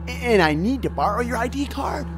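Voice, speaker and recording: A timid young assistant in a theatrical audio drama. The voice is high and halting, stammering with nerves, with faint noise around it. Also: male voice, animated. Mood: nervous. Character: timid, flustered, pleading young male assistant.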